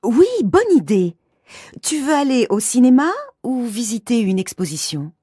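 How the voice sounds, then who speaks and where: A woman playing an everyday wife in a textbook dialogue, with a rather high, very melodic voice. She makes suggestions in a light tone, her voice slightly breathy, in a dry studio sound. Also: big pitch swings, normal speed, warm tone, no room sound. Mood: chatty. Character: woman named Mariette planning an outing with her partner.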